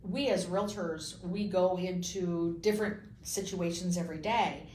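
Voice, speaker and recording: A woman's voice: lowish voice, fairly even intonation, unhurried, darkish tone. A woman realtor speaking as a guest in a casual interview, reflective and often trailing off mid-sentence. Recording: echoey room with faint noise.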